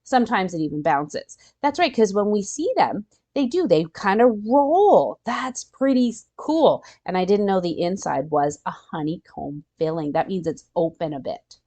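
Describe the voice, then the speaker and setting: Very animated woman reading to children. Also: medium pitch, moderate pace, hardly any pauses. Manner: expressive, explains words simply, asks the listeners questions, gasps in wonder. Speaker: children's storytime host reading a picture book aloud. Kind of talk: animated read-aloud with asides to young listeners.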